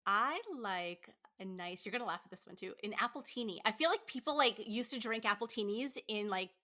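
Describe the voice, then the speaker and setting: A woman's voice: medium pitch, bright, forceful tone. A woman in her thirties, a podcast guest talking casually, full of likes and fillers. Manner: chatty, lots of likes and fillers, quick laughs. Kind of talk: casual interview chat.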